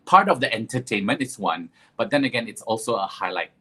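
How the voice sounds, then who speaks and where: Male pageant director from Sarawak, low voice, halting interview replies. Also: very animated, pushed and bright, husky. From Sarawak, East Malaysia. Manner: hesitant, many fillers and restarts. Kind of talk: interview answers.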